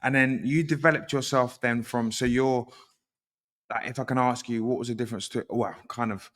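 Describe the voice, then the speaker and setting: A man with a British accent hosts a casual interview. His voice is average in pitch, and he asks in a chatty way, often repeating and rewording what he wants to know. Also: steady tone, normal speed, fairly bright tone, long reverb tail. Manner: repeats and rephrases questions.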